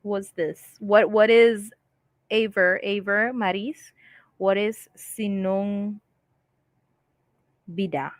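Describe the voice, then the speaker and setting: A woman's voice: mid-range voice, steady tone, takes it slowly, pauses often, no room sound. A woman hosting a casual live stream from home, chatting and reading viewer comments aloud with frequent laughs. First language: English.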